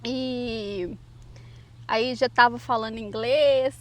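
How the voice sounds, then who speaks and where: A woman in her thirties with a Brazilian Portuguese accent, chatting casually as a mother and co-host, a little hesitant and quick to laugh. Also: a little high, fairly even intonation, a bit bright. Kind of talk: casual chat.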